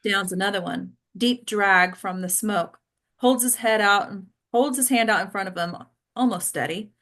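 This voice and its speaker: A woman's voice: medium pitch, normal speed, a bit bright. A woman reading stage directions aloud as the narrator at a script table read.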